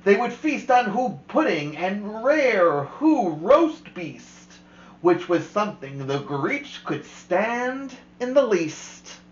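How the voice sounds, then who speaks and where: A man hosting a storytime, reading a rhyming picture book aloud to a child in a slow, expressive way, stretching out the rhymes. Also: high voice. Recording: roomy, slightly dull home recording.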